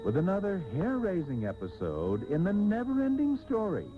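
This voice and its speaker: Jaded soap host, American, theatrical, lively intonation, parody narration, tinny radio tape, background music. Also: male voice, high voice. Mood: grandly melodramatic. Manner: theatrical, drawn-out, sardonic. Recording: phone-thin old radio tape, music behind.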